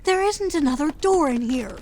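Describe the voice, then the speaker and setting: A woman playing a wary young woman exploring a dark house in an audio drama, nervous but wry. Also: high voice, animated, moderate pace, background noise. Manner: nervous, wry, self-talking.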